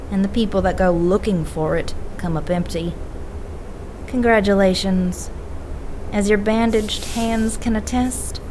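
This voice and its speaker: A confident, sardonic woman played by an actress in an audio drama, with clear noise behind her. She is a bookish paranormal investigator who talks in a dry, brisk and assertive manner, with little patience. Her pitch sits in the middle for a woman. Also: close to an everyday voice. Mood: dry, pointed.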